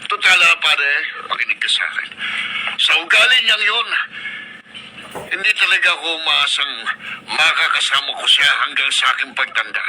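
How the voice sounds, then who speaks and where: A man's voice: fairly high pitch, moderate pace, lightly acted. Actor as a bitter grumbling father, noisy broadcast. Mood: angry, defiant. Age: middle-aged. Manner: grumbling, agitated, rhetorical questions. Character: bitter resentful working-class father, suspicious of his son. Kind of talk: radio drama acting.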